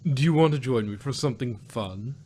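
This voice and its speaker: A man's voice: medium pitch, brisk, mellow, husky, natural voice. A man voicing a regal female pony princess who is breezy, teasing and stubborn, in an acted fan fiction story. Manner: teasing, dry, commanding.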